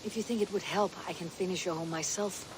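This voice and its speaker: A woman's voice: lowish voice, fairly even intonation, quick pace, background noise, lightly acted. A woman playing a grounded warrior leader. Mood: steady. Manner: plain, direct, grounded. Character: steady female warrior leader, adult. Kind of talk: video game cutscene dialogue.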